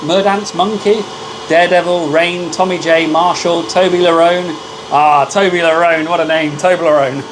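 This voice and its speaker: A man with a loud voice, hosting a casual live stream. He chats with viewers and thanks them by name while he works at a laser engraver. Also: a little high, noisy background.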